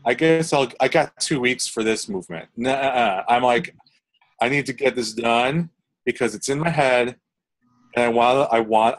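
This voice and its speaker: A young man, a composer, answers questions in a loose, chatty way. His voice sits at an ordinary middle pitch. He circles his points with fillers and false starts. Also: poor audio. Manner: rambling, many restarts and fillers. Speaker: composer interviewee. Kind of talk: casual interview answers.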